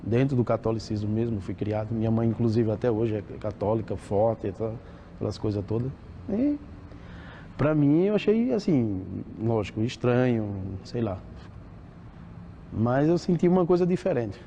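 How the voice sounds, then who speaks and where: Male interviewee, pausing, reflective; mellow voice, lo-fi audio. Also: mid-range voice, lively intonation, slight background noise. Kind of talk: recorded testimony.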